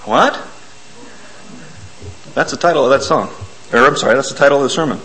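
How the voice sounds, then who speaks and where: A man preaching an informal, self-deprecating sermon in a church, hoarse from a bug and often clearing his throat. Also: mid-range voice, brisk, pushed and bright, low-quality recording. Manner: folksy, self-deprecating, explains hymn words. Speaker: lay preacher.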